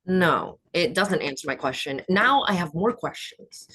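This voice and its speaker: A woman's voice: lowish voice, expressive, a little slow, sharp tone, husky, full character voice. A woman playing a combative, cutthroat young beauty queen in a screenplay table read, sarcastic and confrontational. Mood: sarcastic, indignant.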